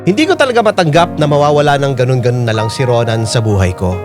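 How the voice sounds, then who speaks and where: A man reading a letter as a dramatic first-person radio narration, sentimental and expressive. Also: medium pitch, fast talker. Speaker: radio show letter reader. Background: loud music, piano.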